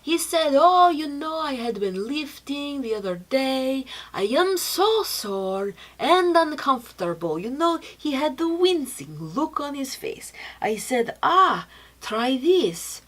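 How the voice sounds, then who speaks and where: A young adult woman telling informal stories to camera, acting out what people said in an animated manner. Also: high voice, takes it slowly. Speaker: speaker presenting product testimonials. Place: small decorated indoor set.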